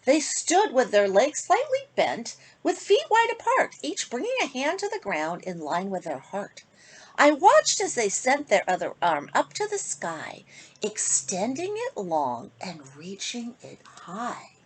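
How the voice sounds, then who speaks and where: A woman reads a children's picture book aloud in a fairly high voice. Her melody swings widely in a sing-song storytelling style. The tone is breathy, with phrase endings that often drop softly. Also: huge pitch swings, unhurried. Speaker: author reading own picture book to children.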